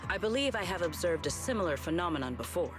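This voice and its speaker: An actress playing a tall, formal, composed female warrior in an anime video game, with measured, stiff phrasing. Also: on the low side, very animated, over music.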